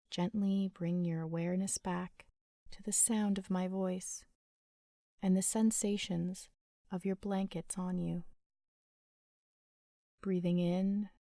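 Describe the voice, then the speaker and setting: A woman leading a guided sleep meditation in a gentle, soothing, unhurried manner with long pauses. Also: fairly low pitch, gravelly, no room sound, studio quality.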